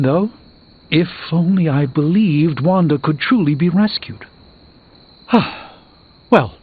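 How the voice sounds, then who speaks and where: An actor playing an earnest, formal superhero sorcerer burdened by regret in a video game, speaking in an articulate, measured way. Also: male voice, on the high side, phone-quality sound. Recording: band-limited game audio with background music.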